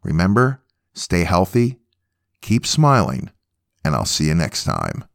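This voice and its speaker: A man's voice: low voice, huge pitch swings, a little slow, vocal fry, no echo, clean studio sound. A man hosting a music podcast, talking casually to listeners between songs. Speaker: podcast host.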